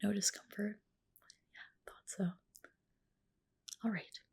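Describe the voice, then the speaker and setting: A woman with a soft, breathy, whispering voice doing a gentle, reassuring ASMR roleplay as a spa practitioner, close to the microphone. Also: medium pitch, fairly even intonation, takes it slowly. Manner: gentle, reassuring client-care talk. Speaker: ASMR creator playing a medical spa practitioner. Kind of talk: ASMR roleplay, personal attention.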